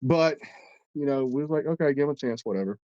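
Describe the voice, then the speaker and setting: A man's voice: mid-range voice, fast, dark tone, no room sound, highs cut off. A man co-hosting a casual podcast, stuffed up and congested, telling a long story in a rambling, profane way with many restarts. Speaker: podcast co-host.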